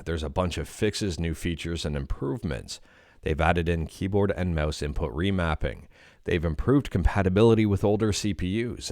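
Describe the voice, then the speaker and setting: Canadian male news host, very low voice, close dry mic, chatty with "uh" pauses. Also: a little mellow, dry recording. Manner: conversational, hesitant fillers when reacting. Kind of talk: casual news roundup.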